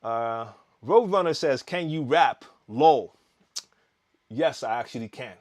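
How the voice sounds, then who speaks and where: A man hosts a laid-back live stream and answers viewers' questions. He talks in an easy, rambling way with plenty of "um"s and false starts. His voice sits at an ordinary middle pitch for a man. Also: slow. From Netherlands. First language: Dutch. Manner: relaxed, chatty, reading viewer comments aloud with frequent fillers and restarts. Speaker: DJ hosting a live Q&A.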